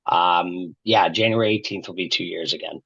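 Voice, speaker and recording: A man speaks as a guest in a casual interview and tells his story slowly and with heartfelt weight. His voice is fairly low. The sound is muffled, lo-fi and completely dry. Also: gravelly, a bit bright, husky, dry recording. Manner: heartfelt storytelling, slow and emphatic.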